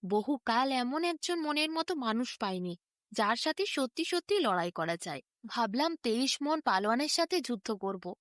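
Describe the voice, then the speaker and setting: A woman's voice: fairly high pitch, big pitch swings, normal speed, dry recording, studio quality. A woman voicing a proud, boastful male giant of a wrestler in a storybook folk tale, declaiming and eager to fight. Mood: disappointed.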